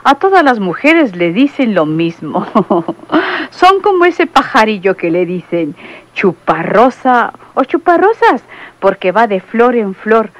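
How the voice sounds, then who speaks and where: A woman's voice: medium pitch, big pitch swings. A woman playing a coy young nurse. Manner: coy, giggling, slow-spoken.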